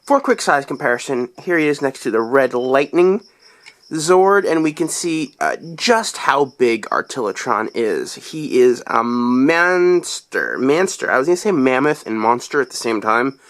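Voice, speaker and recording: Chatty young man with a sick, deep voice. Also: animated, measured pace, a bit bright. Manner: rambling, chatty, lots of fillers. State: sick, voice deeper than usual. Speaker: toy reviewer. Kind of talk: casual toy review.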